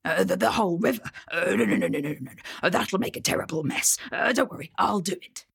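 A woman voicing a big, hairy, greedy male troll in a breathy whispered growl. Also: medium pitch, expressive, quick pace, full character voice. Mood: flustered.

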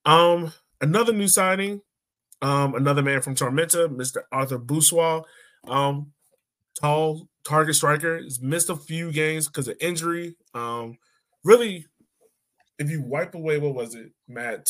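A man's voice: a little high, normal speed, a bit bright. A man hosting a casual sports podcast, talking in an opinionated, conversational way. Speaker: podcast host.

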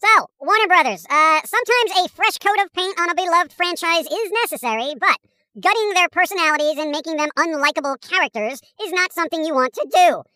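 A performer voicing a brash, excitable cartoon squirrel who talks emphatically and sarcastically in comedy podcast banter. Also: very high-pitched, no room sound, full character voice. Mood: scornful ranting. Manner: emphatic, sarcastic, quick to exclaim.

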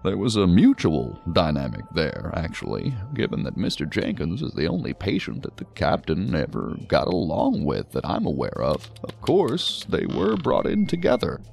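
Musing, gravel-edged psychiatrist, swooping pitch. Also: male voice, huge pitch swings, moderate pace, few pauses, darkish tone, full character voice. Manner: wordy, musing, explanatory. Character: male psychiatrist, talkative, learned, genially hedging. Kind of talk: science fiction audio drama acting.

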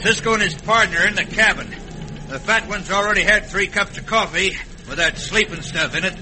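Radio western heavy played by a man: scheming American boat skipper, slightly high pitch, folksy talk, noisy vintage recording. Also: fairly quick, natural voice. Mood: urgent, ruthless. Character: scheming, smooth-talking villainous boat skipper. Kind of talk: classic radio western acting.

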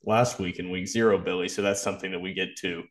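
A man with a fairly low voice co-hosts a sports podcast. He gives casual analysis in an analytical way, qualifying his points as he goes. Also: steady tone, fast.

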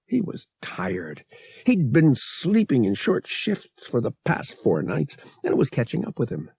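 A male narrator reads scripted fiction with expression. His pitch moves widely around a middle register. The voice is gravelly, and the recording is close and dry. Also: wildly swooping pitch, measured pace, darkish tone, dry recording. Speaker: audiobook narrator.

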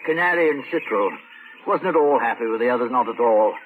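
A man's voice: medium pitch, expressive, a little fast, phone-quality sound, lightly acted. An actor playing a kindly, fussy old English shopkeeper in a radio drama, apologetic and wistful as he chatters. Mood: wistful, chatty. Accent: British. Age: older. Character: kindly, fussy old English pet-shop keeper, gentle and fluttery. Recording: noisy vintage radio drama recording.

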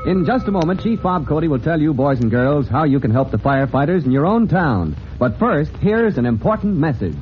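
A man's voice: a little high, very animated, brisk, few pauses. Male announcer, vintage radio serial narration, dramatic, earnest, scripted, muffled audio, faint noise. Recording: dull, noisy old radio recording.

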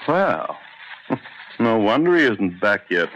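An actor playing an irritable, domineering husband who scolds impatiently, in old-time radio drama style. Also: male voice, on the low side, sing-song, slow pace, a bit bright, exaggerated voice. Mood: irritated. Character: irritable, domineering husband, scornful rose grower. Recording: muffled, noisy old radio recording.

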